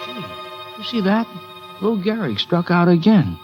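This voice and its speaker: A jeering fan, swooping voice, muffled. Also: male voice, sits high, very animated, unhurried. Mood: disgusted. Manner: slangy, jeering. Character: disgusted, heckling baseball fan. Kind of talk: 1940s radio drama acting. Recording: muffled vintage broadcast, loud music.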